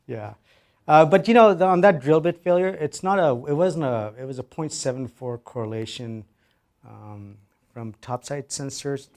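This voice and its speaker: A man's voice: medium pitch, darkish tone. Man peppering his talk with "right?". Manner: filler-heavy, keeps adding "right?". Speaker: presenter. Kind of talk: conference Q&A.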